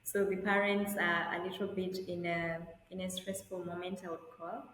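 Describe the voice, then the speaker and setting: A young woman from Tanzania, an attorney telling her life story in an interview over a video call in a measured, earnest way. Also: fairly low pitch, little pitch movement, a bit bright, roomy sound, big-room sound, slight background noise, poor audio. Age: young adult. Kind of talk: conversational interview answers.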